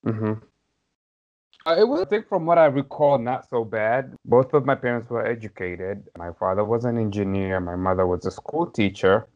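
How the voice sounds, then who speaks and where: Low-voiced Somali-born man, dull audio. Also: expressive, moderate pace, a little mellow. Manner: thoughtful, hedging, with light laughs. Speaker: podcast guest. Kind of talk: reflective personal storytelling.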